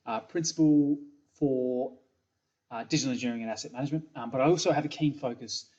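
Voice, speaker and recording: A man's voice: mid-range voice, fast, darkish tone. A middle-aged man with an Australian accent giving a recorded product presentation from a small room, full of ums and repeated words. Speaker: software company principal presenting. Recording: slightly dull webcam audio.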